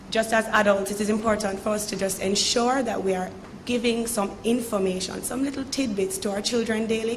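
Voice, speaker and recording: A woman in her thirties with a Jamaican accent and a raspy voice, giving chatty unscripted remarks at a ceremony. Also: mid-range voice, a little hiss or hum, rough recording. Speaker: sponsor representative. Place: hotel function room.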